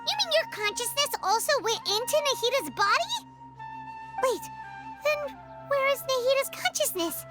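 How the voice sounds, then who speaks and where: Tiny fairy companion, squeaky and childlike, extremely high, swooping intonation, video game dialogue, earnest and excitable. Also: female voice, normal speed, exaggerated voice. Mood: earnest, explaining. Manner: exclamatory, refers to herself in third person. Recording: game music behind the voice.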